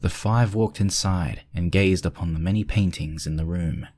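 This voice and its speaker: A man's voice: deep voice, moderate pace, a little mellow. A man reading scripted story narration as the narrator.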